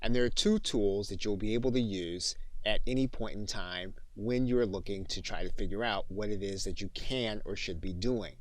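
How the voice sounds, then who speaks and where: A man with a fairly low voice narrates a computer tutorial. He talks steadily through each step, often repeating his phrasing. The sound is dry and close, with no room echo. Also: normal speed, few pauses. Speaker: software instructor. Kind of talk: screen-recorded tutorial narration.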